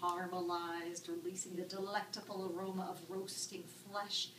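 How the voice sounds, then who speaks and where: A woman narrating a written story in an expressive, arch, dramatic style. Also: on the low side, moderate pace. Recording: echoey room, distant mic, background noise.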